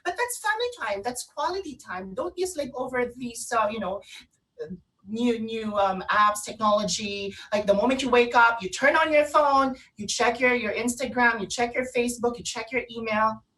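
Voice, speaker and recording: High-voiced woman, distant from the mic. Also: expressive, moderate pace, a bit bright. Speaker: online radio show co-host. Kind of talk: casual live talk show chat.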